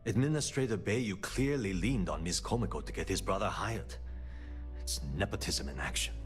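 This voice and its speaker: An actor playing a frustrated, principled personnel manager in a sci-fi video game, speaking in an earnest, articulate, exasperated way. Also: male voice, fairly low pitch, moderate pace, faint music behind, close to an everyday voice. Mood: frustrated, pleading. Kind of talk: scripted game dialogue.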